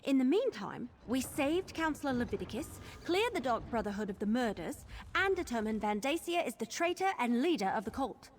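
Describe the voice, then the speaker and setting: Spirited young game heroine, very lively intonation, high pitch, earnest, hot-headed, emphatic. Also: female voice, a little fast, few pauses, slight background noise. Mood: relieved, upbeat. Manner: emotional, emphatic. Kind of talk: video game voice acting.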